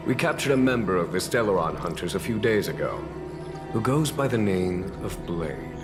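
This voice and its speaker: A man voicing a calm, shrewd general in a game, speaking with unhurried, diplomatic politeness. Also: low-pitched, loud music behind the voice. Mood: genial, measured. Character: calm, courteous, shrewd male general of knights. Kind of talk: scripted video game cutscene acting.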